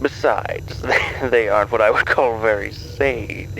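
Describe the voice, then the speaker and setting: A man's voice: lowish voice, fairly even intonation, takes it slowly, gravelly, bright, forceful tone, slight background noise, exaggerated voice, poor audio. A man playing a smug, scheming mastermind in an audio drama, condescending and given to chuckling. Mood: smugly amused.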